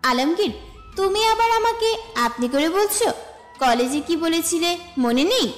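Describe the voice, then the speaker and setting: High-voiced, friendly, bossy college girl played by a woman. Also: animated, unhurried. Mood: mock-scolding. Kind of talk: romantic audio story dialogue. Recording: echoey reverb with music bed. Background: music.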